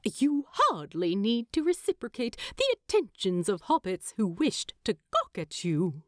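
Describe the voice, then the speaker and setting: A fussy, proud male hobbit homebody from a fantasy audiobook, acted out by a woman. The voice sits fairly high and swoops about with indignation. He is wordy and teasing, in a close, dry recording. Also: huge pitch swings, unhurried, no echo. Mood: dismissive. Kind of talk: audiobook character dialogue.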